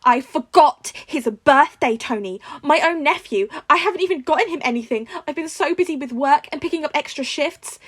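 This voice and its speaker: A woman's voice: sits high, animated, normal speed, hardly any pauses, no echo, pitched above the performer's own voice. A woman voicing a guilt-ridden, emotional aunt of a teenage boy in a story reading. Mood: distraught. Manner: emotional, tearful, self-blaming.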